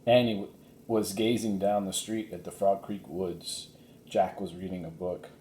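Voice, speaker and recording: A man's voice: little pitch movement, unhurried, dark tone. A man narrating a children's storybook as a reader, recorded in an echoey room. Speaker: audiobook reader. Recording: roomy echo, faint rumbling noise.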